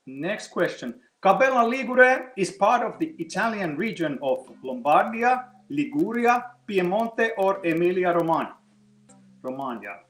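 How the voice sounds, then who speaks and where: A man's voice: high-pitched, big pitch swings, a little slow, fairly bright tone, roomy sound, rough recording. A man hosting a live online quiz, chatting to the players and explaining the answers at length between questions. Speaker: quiz host.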